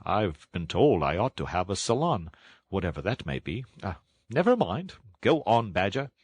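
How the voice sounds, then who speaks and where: A man voicing a vain, excitable toad with a boastful, theatrical way of talking in a children's storybook. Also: deep voice, normal speed, breathy edge, no echo, heavily acted. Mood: boastful, airy.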